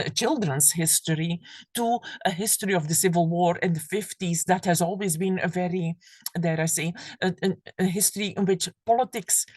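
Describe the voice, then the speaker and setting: A woman lecturing on an academic subject, her voice pitched on the low side with a soft, breathy edge. She speaks thoughtfully and restarts phrases as she reaches for the right word. The sound is dry, with almost no room around it. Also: no echo. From Belgium. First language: Flemish. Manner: thoughtful, restarts phrases while searching for words. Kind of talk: university lecture.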